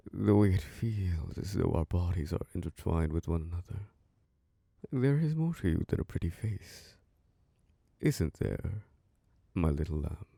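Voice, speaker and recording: A man playing a gravelly seductive vampire lord. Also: dry recording, clean studio sound, heavily acted. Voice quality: low gravelly voice. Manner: slow, seductive, soft chuckles. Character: ancient charming vampire lord, male, possessive. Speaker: castle host. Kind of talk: ASMR audio roleplay.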